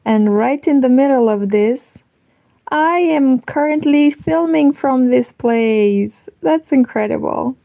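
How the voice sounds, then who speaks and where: A woman's voice: sits high, takes it slowly, vocal fry, dark tone. A woman from Moldova with a Moldovan Romanian accent, a native Romanian speaker, chatting incredulously as she reacts to a video. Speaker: knitting vlogger. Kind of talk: reacting to a video, casual chat.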